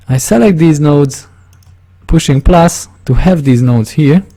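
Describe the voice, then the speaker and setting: A man with a Hungarian accent talks through a drawing tutorial at a desk microphone. His voice is mellow and gravelly. He often pauses to search for words and corrects himself as he goes. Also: a little high. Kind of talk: casual screen-recorded tutorial narration.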